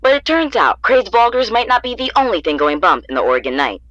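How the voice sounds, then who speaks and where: A man's voice: high-pitched, animated, quick pace, bright, forceful tone, no room sound, highs cut off. A man playing a polished, theatrical TV news anchor, reading scripted newscast stories in an audio drama. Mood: wry, dramatic.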